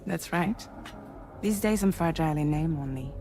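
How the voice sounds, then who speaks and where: A woman's voice: sits low, normal speed, over music. A woman acting as a composed, resolute ship commander in a cinematic video game. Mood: composed, explanatory. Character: composed female commander of a civilian ship crew, welcoming, resolute.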